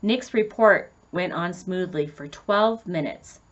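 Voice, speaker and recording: A woman's voice: on the low side, slow, lo-fi sound. A woman narrating a children's story in a scripted read-aloud style.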